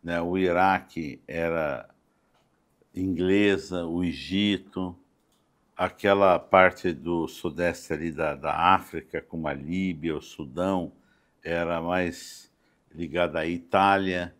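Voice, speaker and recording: Deep-voiced, slow Brazilian older man. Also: steady tone, a bit bright. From Campo Grande, Brazil. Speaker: interview guest, doctor and city councillor. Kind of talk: conversational podcast interview. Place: podcast studio.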